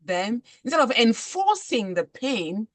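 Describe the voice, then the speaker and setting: A woman's voice: fairly high pitch, very animated, a little slow, dry recording. A woman from Cameroon hosting a conversational interview, speaking emphatically and often murmuring agreement. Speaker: podcast host.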